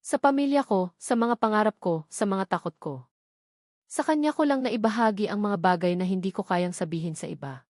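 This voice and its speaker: A woman telling a first-person love story in a measured, earnest way, letting each line land with feeling. Her voice sits at an everyday pitch and sounds close and dry, with no echo around it. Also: a little mellow. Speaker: narrator.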